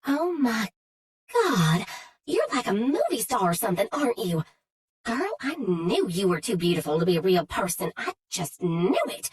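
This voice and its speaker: A woman voicing a bubbly, excitable Southern woman in her early thirties, with a Southern accent and a husky edge. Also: mid-range voice, moderate pace. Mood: excited. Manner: gushing, excitable, chatty.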